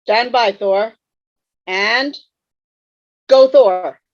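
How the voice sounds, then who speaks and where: A woman's voice: on the high side, drawn-out words. A woman playing a pompous, formal male ghost commander in an online table read of a sitcom script. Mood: commanding. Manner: formal, pompous, archaic phrasing. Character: pompous male ghost, old-fashioned military commander rallying troops. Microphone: video call. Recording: dull, roomy video-call sound.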